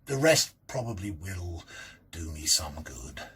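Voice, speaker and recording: An actor in a dramatic reading, playing a lonely, timid, middle-aged academic who muses in a hesitant, fussy, precise way. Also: male voice, low-pitched, very animated, measured pace, fairly bright tone, slightly rough, full character voice. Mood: wistful, resigned. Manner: hesitant, musing, theatrical, precise wording. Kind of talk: performed dramatic reading of a classic ghost story, first-person monologue.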